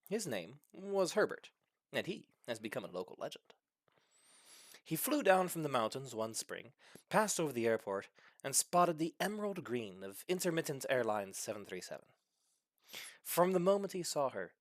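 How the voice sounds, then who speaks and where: A man's voice: a little high, dry recording, studio quality. A man reading a comic short story aloud with mock-serious, dramatic delivery. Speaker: story reader.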